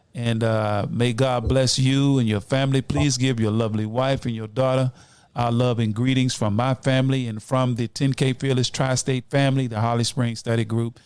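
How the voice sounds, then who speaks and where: Male podcast host, late fifties, mid-pitched, exhortatory community address, very dry recording. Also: clean tone, no room sound. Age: late 50s, nearly 60. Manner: exhortatory, addresses listeners as brothers and sisters. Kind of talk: community podcast talk with announcements and shout-outs.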